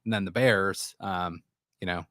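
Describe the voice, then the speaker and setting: A man's voice: sits low, measured pace, a bit bright, no echo, clean studio sound. Man with a scratchy throat, talking sports. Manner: rambling, restarts often. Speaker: sports show host. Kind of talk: casual sports talk. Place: small studio.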